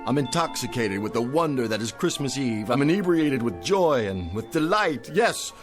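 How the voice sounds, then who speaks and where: An actor playing a tipsy, good-hearted, bewildered store Santa with florid, theatrical phrasing in radio drama style. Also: male voice, mid-range voice, big pitch swings, normal speed, faint music behind, close to an everyday voice. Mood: tipsy, exultant.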